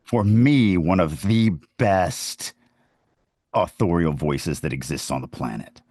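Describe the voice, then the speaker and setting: A man with a deep, low voice talks casually as a podcast host and writing teacher. He is informal and opinionated, explaining things through personal stories. The sound is dry and close, with little room in it. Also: takes it slowly, a little mellow, dry recording. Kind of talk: casual conversational writing advice.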